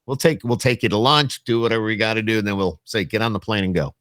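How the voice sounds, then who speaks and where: A man hosting a podcast, chatting casually in an animated, joking, folksy manner. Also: lowish voice, quick pace, no echo, clean studio sound. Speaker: podcast host. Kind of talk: casual interview chat.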